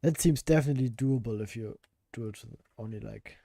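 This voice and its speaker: A hoarse man talks casually while he codes, musing out loud and answering his chat. His voice is very dark and gravelly, with a fry at the ends of phrases. The sound is close and dry, with no room in it. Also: medium pitch, fairly quick, no echo. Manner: thinks aloud, answers chat, laughs at himself. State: hoarse, voice worn out. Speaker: game developer streaming a game jam. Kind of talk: casual live-stream chat while coding.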